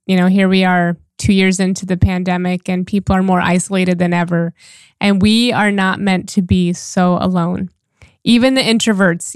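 A woman's voice: medium pitch, clean tone, dry recording. A woman hosting a self-help podcast, a life and relationship coach talking in a casual, encouraging way.

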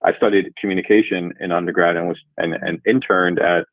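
A man in his forties from Jamaica, a guest speaking in a measured, thoughtful way and often pausing to rephrase. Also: deep voice, steady tone, no room sound. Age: 40s. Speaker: guest, revenue executive. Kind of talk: reflective interview answers. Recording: tinny phone-like line, dry.

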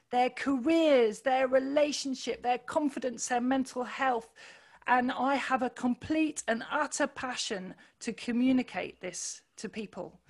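A woman with a loud voice, talking earnestly in an interview, pausing often with fillers and restarts. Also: high-pitched. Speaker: podcast guest, running club founder. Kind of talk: reflective conversational interview.

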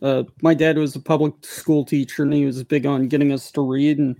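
A man in his forties from the United States West Coast, co-hosting a podcast and telling stories in relaxed casual chat. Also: mid-range voice, a little fast, gravelly, no room sound, studio quality. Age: 40s. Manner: relaxed storytelling, agreeable. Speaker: podcast co-host.

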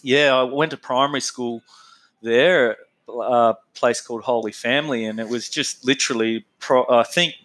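A man's voice: mid-range voice, steady tone, normal speed, a bit bright. An Australian man in his thirties, an interview guest talking casually and laughing often, with plenty of fillers. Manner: laid-back, rambling, laughs often, lots of fillers.

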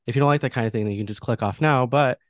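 A man's voice: mid-range voice, rapid-fire, no room sound. A young man co-hosting a podcast over a video call, congested, chatting casually and joking with lots of fillers. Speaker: podcast co-host. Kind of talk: casual chat.

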